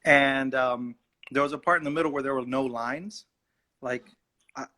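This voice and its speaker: A young man, an actor and native Urdu speaker, telling stories in a lively way during an interview. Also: medium pitch, moderate pace. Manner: animated, acts out voices in his anecdotes. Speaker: actor, guest. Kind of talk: casual storytelling in an interview.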